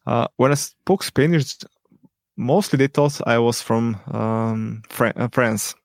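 Slow, gravelly man with frequent ums. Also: medium pitch, no room sound, clean studio sound. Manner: hesitant, many ums and pauses. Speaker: teacher and podcast guest. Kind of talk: unscripted interview answers.

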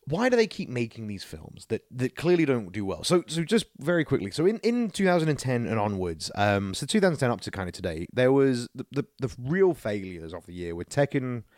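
A man's voice: medium pitch, big pitch swings, brisk, hardly any pauses, a little mellow, no echo, clean studio sound. A man co-hosting a casual podcast, giving opinions in a rambling way with incredulous rhetorical questions. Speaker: podcast co-host.

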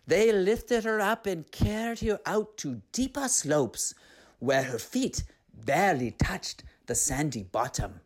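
Low-voiced, animated woman storyteller. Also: slow pace. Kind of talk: expressive scripted story narration.

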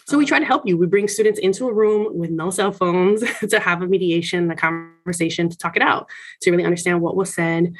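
A woman's voice: on the low side, fairly quick, faint music behind. A woman from Miami speaking in a conversational interview, explaining things from experience in an articulate, emphatic, self-assured way. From Miami, United States. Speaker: senior college administrator being interviewed.

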